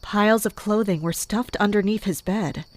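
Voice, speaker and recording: Woman acting as mercenary captain, mid-pitched, composed, wry, measured audio drama narration. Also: darkish tone, no echo, close to an everyday voice. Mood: grim, focused. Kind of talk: first-person narration and dialogue in an audio drama.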